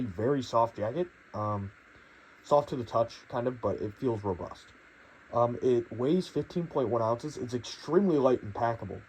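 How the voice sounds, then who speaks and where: A young man, his voice a little hoarse from a cold, talks through a piece of gear in an easy, meandering way. He sits slightly low in pitch and hedges often, with plenty of fillers. A faint background hum runs underneath. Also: darkish tone, rough recording. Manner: rambling, hedging, many fillers. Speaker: gear reviewer. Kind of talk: casual product review.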